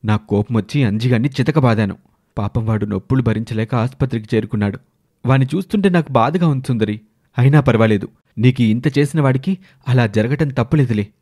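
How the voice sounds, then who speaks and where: A man plays a domineering village husband for an animated moral tale. His voice is mid-pitched and theatrical, in the manner of dramatic story dubbing. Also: brisk, natural voice. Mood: coaxing. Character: domineering village husband, scheming then wrathful.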